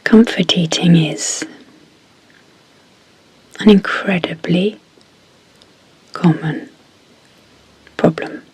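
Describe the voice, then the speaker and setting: A woman speaking in a soft, breathy whisper as an ASMR sleep hypnosis guide, deliberately and in short phrases with pauses. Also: fairly low pitch, rough recording. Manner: slow, deliberate, pausing between short phrases.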